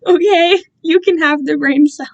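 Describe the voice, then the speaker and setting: A woman's voice: very high voice, normal speed, dull audio. A young woman chatting casually on a livestream in a rambling, self-deprecating way full of giggly internet slang. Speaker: amateur writer reading own fan fiction.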